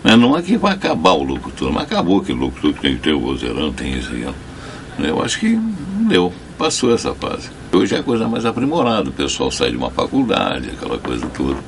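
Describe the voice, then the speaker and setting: An older man speaking as an interviewee in an animated way, his pitch swooping widely. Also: brisk, creaky, a little hiss or hum. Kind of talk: interview opinion.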